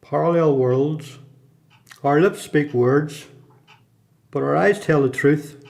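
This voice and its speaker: A man with a Belfast accent playing a guarded, streetwise repeat prisoner in a radio play, speaking in a confiding, measured, plain-spoken way. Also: on the high side, creaky, a little mellow. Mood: reflective. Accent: Belfast Northern Irish.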